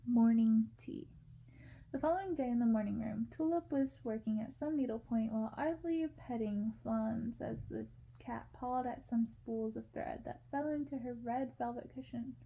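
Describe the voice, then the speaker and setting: A woman reading a story aloud as ASMR, with gentle, hushed narration. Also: fairly high pitch, normal speed, vocal fry, husky, rough recording. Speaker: ASMR reader.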